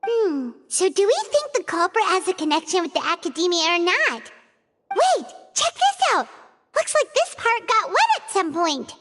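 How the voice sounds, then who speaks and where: A tiny flying fairy sidekick chirps in a very high, bright, squeaky child's voice. She is excitable, curious and eager, full of exclamations in cartoonish game style. The sound carries a long reverb. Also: normal speed, full character voice. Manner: exclamatory, eager, speaks of herself by name.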